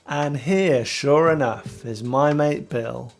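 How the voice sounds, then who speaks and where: A man's voice: on the high side, slow pace, faint music behind. Man playing a sly, menacing old sailor. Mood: sneering, gleeful. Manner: wheedling, drops H's, rough sailor speech.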